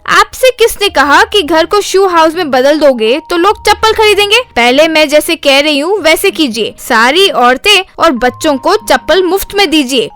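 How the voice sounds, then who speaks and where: A woman's voice: high-pitched, animated, normal speed, hardly any pauses, a bit bright, faint music behind. A woman voicing a shrewd, bossy village wife who nags and coaxes in a comic story. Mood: shrewd, insistent. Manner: nagging, coaxing.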